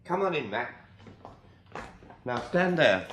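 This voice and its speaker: An actor playing a brisk, commanding gang leader in a radio drama, with a clipped, unbending manner. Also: male voice, mid-range voice, very animated, a bit bright, a little hiss or hum.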